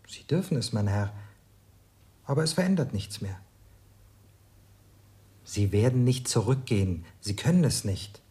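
An actor playing a formal male servant in a radio drama, measured, deferential and calmly fatalistic. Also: medium pitch. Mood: gently resigned. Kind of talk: German radio drama acting.

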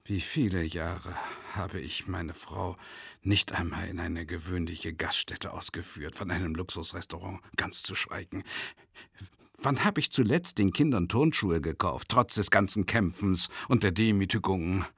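Gravelly, tormented man, brooding. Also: low-pitched, unhurried, a bit bright, no room sound, clean studio sound, full character voice. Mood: anguished, defensive. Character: tormented, self-doubting, impoverished male travelling lecturer. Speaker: first-person narrator. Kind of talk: dramatic radio play monologue.

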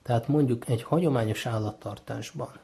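A man's voice: mid-range voice, vocal fry, mellow. A man explaining as an expert interview guest, in a didactic, emphatic manner. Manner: didactic, emphatic, poses questions and answers them. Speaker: ecologist and university lecturer as interview guest.

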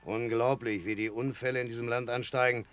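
Guy doing a snooty sarcastic club man, medium pitch, dry and mocking, radio crime drama. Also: flat delivery, quick pace, a bit bright, close to an everyday voice. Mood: aloof. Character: arrogant, sardonic male club acquaintance.

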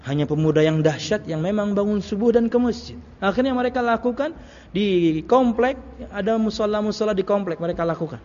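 A man's voice: high voice, brisk, a little mellow. A man giving an Islamic lecture to a congregation, conversational, repeating phrases and asking rhetorical questions. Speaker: Islamic preacher. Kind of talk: religious lecture to a congregation, with anecdotes. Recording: muffled, reverberant hall recording.

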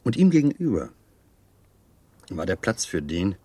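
A suave officer and charmer in a radio drama. His low, husky voice rises and falls widely as he savours every phrase with theatrical, teasing gallantry. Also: very animated, measured pace, dark tone, no room sound, full character voice. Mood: suave, dramatic storytelling. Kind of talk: German radio play acting.

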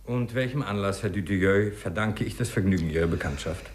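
A man acting an urbane, deep-voiced doctor. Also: a little fast, loud music behind the voice, natural voice. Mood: affable, professional. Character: urbane, inquisitive male doctor, affable and self-assured. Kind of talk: 1950s German radio play acting.

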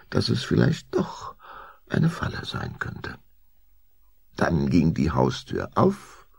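Deep, gravelly, expressive male storyteller. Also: dry recording. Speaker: narrator. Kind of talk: scripted storybook narration for children's audio drama.

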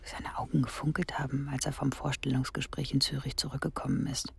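A young woman confides her thoughts in a radio play, wry and unsure of life. Her voice has noticeable creak and sits close, like private thinking. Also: extremely low pitch, level tone, a little fast, whispered, heavily acted. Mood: reflective. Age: young adult. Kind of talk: radio play acting, intimate inner monologue.

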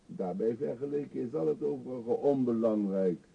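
An actor playing an eccentric, talkative older beggar in a radio drama, pushy and wry, confiding theatrically. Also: male voice, mid-range voice, gravelly, breathy edge, heavily acted. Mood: bitterly amused. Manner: chatty, insistent, theatrically confiding. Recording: dull, muffled old radio play recording.

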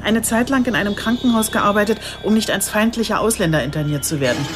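An actress playing a businesslike, insistent police chief inspector in a radio drama, with a brisk, probing manner. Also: female voice, medium pitch, over music, close to an everyday voice. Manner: brisk, probing, matter-of-fact.

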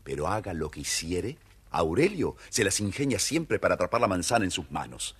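A man narrating a children's fairytale theatrically in a husky voice. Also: very animated, fairly quick. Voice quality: husky, deep. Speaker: storyteller, narrator.

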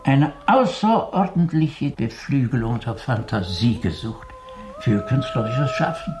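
A man's voice: mid-range voice, expressive, measured pace, natural voice. An actor playing a learned man in a German radio mystery set in 1914 Vienna, musing and lecturing with emphasis. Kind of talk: German radio play acting. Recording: light background noise.

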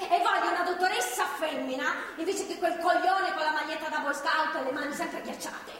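A woman's voice: very high voice, a little fast, fairly bright tone. An actress playing a foul-mouthed, defiant young pregnant captive woman in a stage drama, sarcastic and explosive. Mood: sarcastic, demanding. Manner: sarcastic, crude, emotionally explosive. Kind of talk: live stage drama acting. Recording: distant, echoey theatre recording with noise.

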